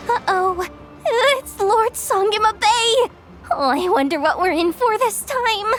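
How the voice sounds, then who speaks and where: Frazzled young expo manager girl, stammery, really high voice, game cutscene w music behind. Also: unhurried, fairly bright tone. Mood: uneasy. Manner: flustered, stammering, pleading. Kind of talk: video game voice acting.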